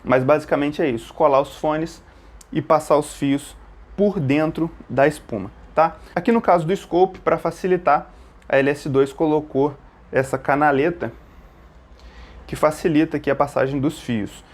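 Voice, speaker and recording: A man's voice: a little high, steady tone, normal speed. A man with a Brazilian accent giving an informal step-by-step gear tutorial, explaining as he works and often checking in with short tag questions. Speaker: motorcycle gear tutorial presenter. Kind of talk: informal step-by-step hands-on instruction.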